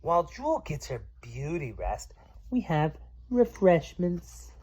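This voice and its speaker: Slow, very animated man reading aloud. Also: on the high side, a little hiss or hum. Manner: playful, theatrical, explains words simply. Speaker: parent reading aloud to a child. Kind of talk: animated children's storybook read-aloud.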